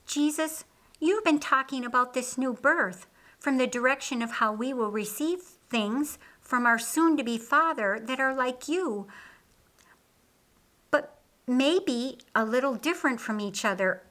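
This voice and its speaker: Narrator playing thoughtful woman, pondering, searching, bright, slightly high pitch, audiobook dialogue. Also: unhurried. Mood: curious. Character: Mary, thoughtful, wondering younger woman follower.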